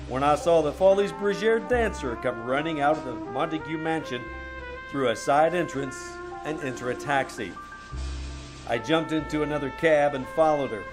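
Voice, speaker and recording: Male actor as flirty hard-boiled radio detective, wry narrator, higher-pitched voice, live stage sound with music under. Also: close to an everyday voice, rough recording. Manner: wry, breezy first-person storytelling. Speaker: amateur radio troupe actor. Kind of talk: old-time radio detective drama. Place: small-town theater stage.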